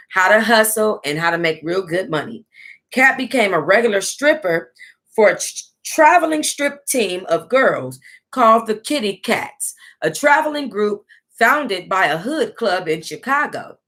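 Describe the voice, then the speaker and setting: An American woman hosting an online book club, reading aloud casually in an animated, salty-tongued way and breaking off to react. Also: mid-range voice. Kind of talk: casual livestream read-aloud with running reactions.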